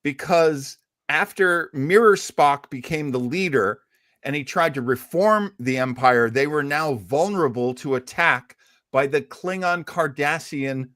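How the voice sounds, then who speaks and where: A man's voice: fairly high pitch, unhurried, fairly bright tone, dry recording, clean studio sound. A man hosting a casual panel chat on a podcast. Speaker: podcast host.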